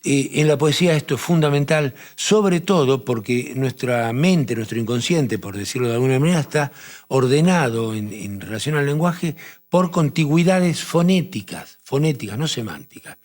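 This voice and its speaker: A man with a Rioplatense accent explaining poetry and translation in a measured talk-show conversation, often restarting his phrases. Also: medium pitch, animated, a little mellow. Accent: Rioplatense Spanish. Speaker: literature professor on a TV talk show.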